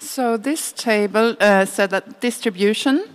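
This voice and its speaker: A woman giving an impromptu summary to a room, halting as she goes item by item. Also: mid-range voice. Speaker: government agency unit head. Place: live event room.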